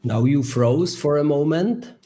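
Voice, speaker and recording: A man's voice: fairly high pitch, warm tone, a little hiss or hum. Man hosting a live video call interview. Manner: halting, slightly non-native phrasing. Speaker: host, career consultant. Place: home or office in Switzerland.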